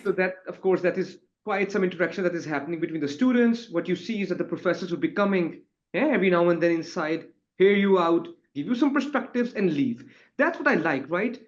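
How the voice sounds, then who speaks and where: A man's voice: high-pitched, animated, brisk. A man speaking informally as a student on a panel, rambling a little and adding tag questions. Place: online webinar call. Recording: dull, muffled online call audio.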